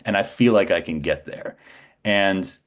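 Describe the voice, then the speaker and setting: A man in his forties with a very low voice talks as a guest in a casual conversation. He tells stories about himself in a self-assured, anecdotal way. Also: measured pace. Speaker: podcast guest, voice actor.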